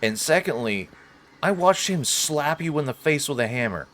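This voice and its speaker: A man hosting a casual video game playthrough, commenting in an expressive, jokey, slangy way. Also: on the high side, moderate pace. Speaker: gaming host doing a playthrough. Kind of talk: casual let's play commentary, partly voicing dialogue. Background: faint game ambience.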